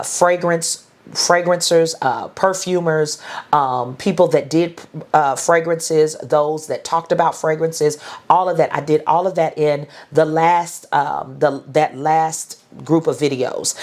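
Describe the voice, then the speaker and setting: A woman's voice: low voice, a little mellow. A middle-aged woman with a stuffy, congested nose, chatting through a fragrance haul review with lots of ums and restarts. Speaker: beauty and fragrance vlogger. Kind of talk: casual haul review to camera.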